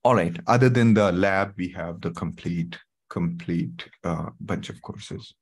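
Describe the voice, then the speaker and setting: A man's voice: measured pace, breathy edge. A middle-aged man with an Indian English accent, presenting a tech webinar in a soothing, conversational way with frequent "okay"s. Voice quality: soothing, low voice. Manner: conversational, many fillers like "okay" and "right". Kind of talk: live online tech webinar.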